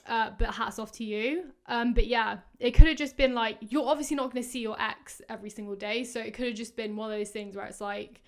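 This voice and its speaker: A woman in her twenties hosting a podcast, giving casual, rambling advice full of fillers, her voice going hoarse. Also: on the high side, steady tone, fairly quick, hardly any pauses. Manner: chatty, rambling, many fillers. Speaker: podcast host. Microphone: podcast microphone.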